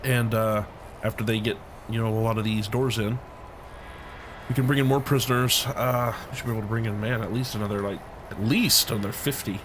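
A man's voice: lowish voice, fairly even intonation, brisk. Man, game commentary, rambling, slightly scratchy voice, recovering from illness, noisy background. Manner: rambling, thinks aloud, hums filler tunes. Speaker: let's play host. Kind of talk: casual gameplay commentary.

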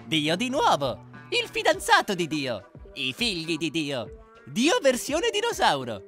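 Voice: mid-range voice, wildly swooping pitch, measured pace, pushed and bright, soft background music. A narrator reading a scripted comedic parody in a sarcastic, emphatic way.

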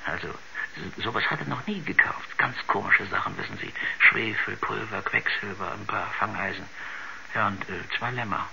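An actor playing a rambling, uneasy village shopkeeper who stammers and repeats himself in a 1970s radio drama. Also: male voice, lowish voice, normal speed, gravelly. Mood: uneasy, puzzled. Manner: hesitant, stammering, repetitive, rambling. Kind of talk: 1970s science-fiction radio drama acting. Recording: dull, noisy 1970s radio recording.

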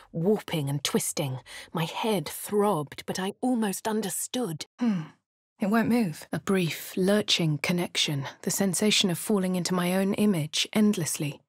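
An actress with a posh English accent playing a composed, wry female adventurer in measured narration. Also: medium pitch, husky, no echo, clean studio sound. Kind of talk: game character voice lines.

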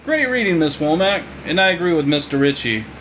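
A man's voice: a little high, lively intonation, a little fast, a bit bright, a little hiss or hum, poor audio. A man in his thirties or forties, a teacher recording an instructional message for a class. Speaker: teacher commenting to students. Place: small indoor room. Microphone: phone at arm's length.

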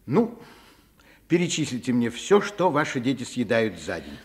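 Man playing a kindly doctor with lively intonation. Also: medium pitch, moderate pace, close to an everyday voice. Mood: amused. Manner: conversational, gently ironic. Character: kindly, principled doctor and father. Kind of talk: Soviet radio drama acting.

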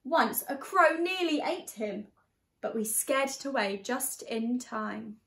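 High-voiced young woman reading in an echoey room. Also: takes it slowly. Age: young adult. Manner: expressive, addressing young children. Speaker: teacher reading a picture book to children. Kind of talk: storybook read-aloud.

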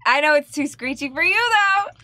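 A woman in her thirties with a high, bright voice that swings up and down a lot. She is excitable and cheery as she chats through a reaction on a couch in a small living room. The sound is close and dry. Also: moderate pace, no echo. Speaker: co-host of a music reaction channel. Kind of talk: casual reaction chat.

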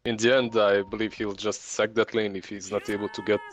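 A man's voice: lowish voice, level tone, a little fast. A man with a Russian accent doing laid-back, joking esports commentary as co-caster.

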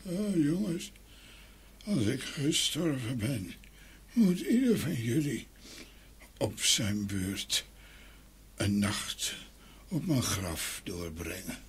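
A man voicing a solemn dead old father in an audio fairy tale, speaking in halting, drawn-out pronouncements. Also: mid-range voice, big pitch swings, slightly rough, exaggerated voice. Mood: dying, solemn. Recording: noisy ambient sound behind voice.

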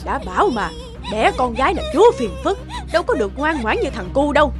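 A woman's voice: sits high, very animated, a little fast, music in the background. A woman voicing a scolding, dismissive old grandmother in a cartoon, grumbling as she talks. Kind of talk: cartoon voice acting.

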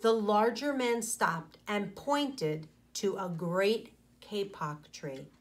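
A woman in her forties, a teacher reading aloud to children and explaining, with deliberate, pausing phrasing. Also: medium pitch.

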